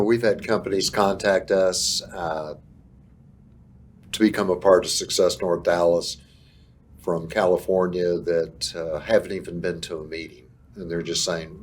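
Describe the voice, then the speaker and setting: A man leading a business group, speaking as an interview guest in a promotional, deliberate way with pauses. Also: sits low, darkish tone.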